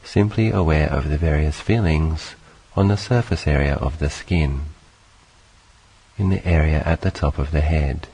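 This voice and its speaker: Man, extremely low pitch, slow pace, calm meditation teacher, short phrases, long pauses. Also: slightly rough, low-quality recording. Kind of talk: guided meditation.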